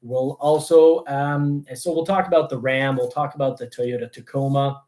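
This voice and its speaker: A man's voice: mid-range voice, fairly even intonation, a little mellow. Rambling man. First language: French. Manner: rambling, halting delivery. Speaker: host of a car news show. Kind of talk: casual livestream chat.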